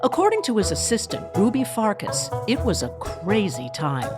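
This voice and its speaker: A woman's voice: mid-range voice, huge pitch swings, normal speed, over music. A woman delivering scripted narration for a mock documentary.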